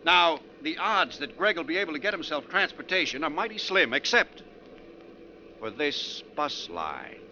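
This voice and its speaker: An actor playing a sarcastic, edgy, talkative bus passenger in a needling, theatrical 1940s radio style. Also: male voice, on the high side, very animated, moderate pace, sharp tone, full character voice. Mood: speculative, intense. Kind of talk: 1940s radio drama acting. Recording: dull, noisy 1940s radio recording.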